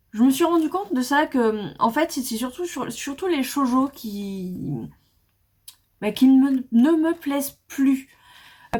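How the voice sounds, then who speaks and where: A woman reviewing her manga in a casual, hesitant way, with many fillers and restarts. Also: a little high. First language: not English. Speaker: manga vlogger. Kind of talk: casual book review chat.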